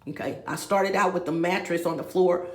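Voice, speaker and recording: A woman's voice: on the low side, fairly even intonation, moderate pace, echoey room. A woman, rambling and talking straight to her viewers with many asides. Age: 50s. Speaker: vlogger. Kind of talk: rambling confessional vlog. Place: room with a bed, indoors.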